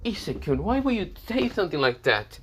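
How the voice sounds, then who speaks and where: A girlish female demon lord, pouty and cutesy, as a man voices her. Her high voice sulks and swoops. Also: big pitch swings, fairly quick, a little hiss or hum. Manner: sulky, cutesy.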